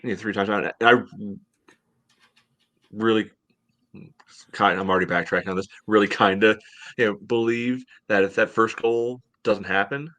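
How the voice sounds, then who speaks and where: A man's voice: lowish voice, fairly even intonation, moderate pace, pauses often, pushed and bright. A middle-aged American man co-hosting a podcast, casually analyzing sports from home over a video call. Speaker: podcast co-host.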